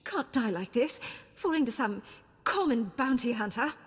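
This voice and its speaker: Proud young Sith aristocrat in a game: high-voiced, dramatic, shattered, heard in a reverberant hall. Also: female voice, expressive, moderate pace, breathy edge. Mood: desperate, defeated. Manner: dramatic, anguished.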